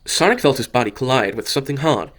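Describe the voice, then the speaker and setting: Guy narrating a fan audio drama, mid pitch, keeps tripping over words and redoing lines. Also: expressive, fairly bright tone. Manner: halting, stumbles and rereads phrases. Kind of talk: scripted story narration.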